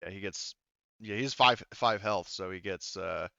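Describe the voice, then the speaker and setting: A man running an online tabletop roleplaying game as game master, talking haltingly as he thinks aloud through the rules. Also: low-pitched, vocal fry, sharp tone, lo-fi sound, slight background noise.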